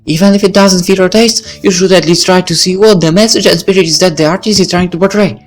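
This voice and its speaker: A man's voice: sits high, steady tone, fast talker, pitched above the performer's own voice, lightly acted. A man voicing a shy, earnest teenage girl who loves music in a visual novel, speaking hesitantly over music.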